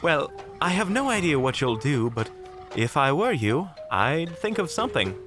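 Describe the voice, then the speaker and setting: A man's voice: a little high, very animated, normal speed, over music. A man playing a polite, unflappable monster hunter in an audio roleplay, speaking in a formal, prim, theatrical manner. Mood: wry. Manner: formal, prim, wryly courteous.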